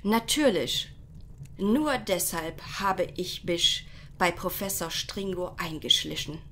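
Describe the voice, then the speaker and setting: A woman's voice: fairly low pitch, expressive, slow, fairly bright tone, slight background noise, heavily acted. A woman playing a haughty, menacing villainess who schemes and gloats in a parody detective audio drama. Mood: gloating. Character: scheming, gloating villainess holding heroes at gunpoint.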